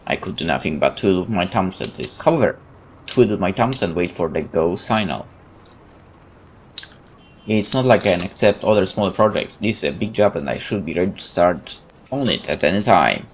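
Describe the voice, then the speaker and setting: A man's voice: sits low, expressive, moderate pace, noisy background. A man reading a visual novel aloud as a let's play host, with informal muttered asides and interruptions to himself.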